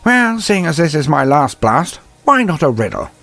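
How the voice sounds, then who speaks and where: Gravelly, wildly animated old inquisitor. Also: male voice, on the high side, normal speed, dry recording, pitched above the performer's own voice, full character voice. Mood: sly, taunting. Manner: theatrical, taunting, tags questions with "eh". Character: gruff old male inquisitor, defiant, mocking, wily.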